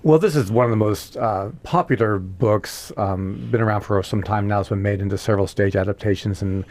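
A man hosting a casual talk show, putting halting, rambling questions to his guests. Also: on the low side, a little mellow. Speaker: radio talk show host. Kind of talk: casual interview.

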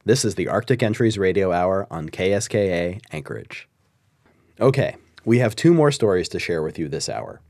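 A man hosting a radio show, introducing the next storyteller in a measured announcer style. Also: low voice, very animated, gravelly, a little mellow, no echo, clean studio sound. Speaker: radio host.

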